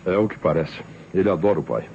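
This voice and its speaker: Deep-voiced Brazilian police inspector, shrewd. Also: male voice, expressive, a little mellow. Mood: pondering. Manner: measured, probing, rhetorical questioning. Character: shrewd, probing male police inspector, sardonic and confident. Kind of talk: old Brazilian radio crime drama acting. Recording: dull old radio recording, faint noise.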